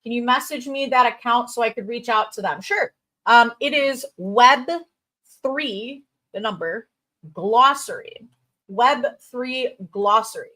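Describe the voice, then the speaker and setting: Woman, 30s, Chicago accent, upbeat recruiter host, somewhat high voice, casual livestream patter. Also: bright, forceful tone. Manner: bubbly, chatty, quick asides and fillers. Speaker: crypto recruiter hosting a livestream. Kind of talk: casual livestream chat reading viewer comments.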